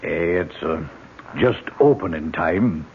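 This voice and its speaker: Chatty village tradesman, folksy, husky, clipped phrases, on a dull vintage radio drama recording. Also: sits low, expressive, slow pace, exaggerated voice. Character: chatty village postman and pub barkeep, jovial tradesman. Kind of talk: 1970s radio drama acting.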